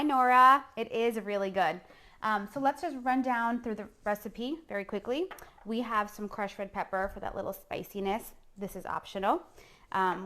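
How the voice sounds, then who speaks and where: A woman's voice: mid-range voice, fairly bright tone. Chatty woman hosting a live cooking stream. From San Juan, Puerto Rico. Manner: chatty, informal, addresses viewers directly with frequent "okay?" tags. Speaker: chef hosting a cooking show. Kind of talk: casual live-streamed cooking demo chat.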